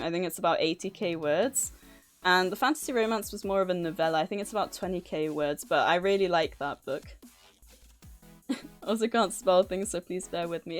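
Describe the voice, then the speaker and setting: A woman livestreamer chatting casually with her viewers in a flustered, nervous, giggly and effusively thankful manner. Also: fairly low pitch. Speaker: livestreamer and author hosting a stream. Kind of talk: casual live chat with viewers. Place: livestream, indoors at a computer.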